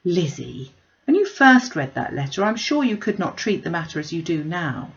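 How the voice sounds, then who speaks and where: A woman's voice: on the low side, very animated, normal speed, dull audio. A woman voicing a kind-hearted, distressed young lady in a classic novel reading, with an earnest, plaintive way of exclaiming. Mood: gently reproachful.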